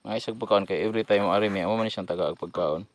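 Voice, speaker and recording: A man's voice: on the low side, racing, no room sound. A man with a Cebuano Filipino accent giving casual, informal vlog commentary in short fragments as he works on site. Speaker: radio repeater technician and vlogger. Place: hilltop radio repeater site. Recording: noisy on-site amateur recording.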